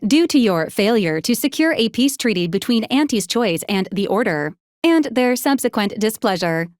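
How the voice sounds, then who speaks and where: A woman playing a formal, dutiful female ship's AI in a video game, speaking in a measured, clipped, impersonal way. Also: mid-range voice, dry recording, clean studio sound, natural voice. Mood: formal, coolly critical. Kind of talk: scripted video game voice acting.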